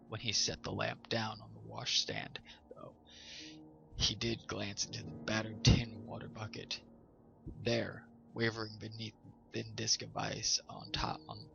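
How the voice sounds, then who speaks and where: A man's voice: lowish voice, gravelly. A man hosting a storytime show, reading and presenting to listeners as the narrator. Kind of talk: scripted ghost story narration. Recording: slightly dull sound, music behind. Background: background music.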